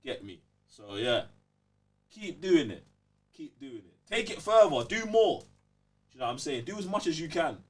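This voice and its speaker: A young London man with a fairly high, husky voice makes his points emphatically on a casual podcast. His speech is slangy and full of little check-ins with the listener. He sits a little way back from the microphone. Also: sing-song, moderate pace, long pauses, recorded from a distance. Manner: emphatic, slangy, repeats check-in tag phrases. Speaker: podcast host.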